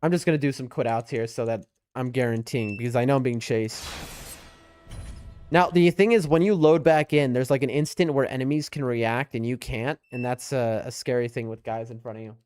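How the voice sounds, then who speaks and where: A man's voice: medium pitch, fairly quick, darkish tone, clean tone, no echo. A man with a New York accent giving casual, rambling live game commentary and chatting to his viewers. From US East Coast. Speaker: game streamer. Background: music.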